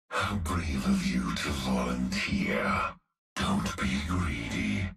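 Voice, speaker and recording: An actor voicing a vengeful, menacing male cyborg executioner with a gravelly voice, delivering slow, grim threats. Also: rumbling low, fairly bright tone, exaggerated voice. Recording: game clip, roomy, heard from a distance with faint music.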